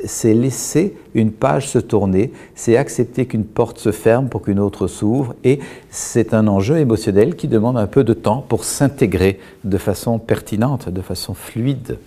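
A middle-aged man, speaking as a guest in a calm, measured, reflective way, pausing often and restarting his sentences. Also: mid-range voice, vocal fry. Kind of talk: reflective explanatory talk.

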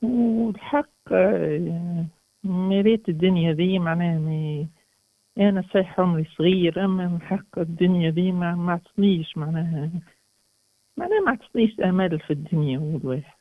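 A man in his twenties with a Tunisian accent, calling a radio show and speaking haltingly about himself over a phone line. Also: high voice, dark tone, no echo. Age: 20s. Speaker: first-time radio caller. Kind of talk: hesitant personal account on a phone-in. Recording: tinny narrow phone line.

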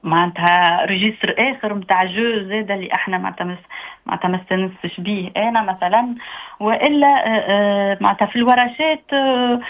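A Tunisian woman speaks quickly over a tinny phone connection, her talk full of hesitations and little French expressions. She answers an interviewer's questions in relaxed, chatty fashion as a theatre artist. The line sounds thin and narrow. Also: medium pitch. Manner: hesitant, many fillers, mixes in French. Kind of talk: casual interview.

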